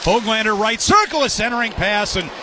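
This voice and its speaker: A man calls live hockey play-by-play on the radio in a fairly high voice. Loud arena noise surrounds him throughout the broadcast. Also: lively intonation, a little fast. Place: sports arena broadcast position.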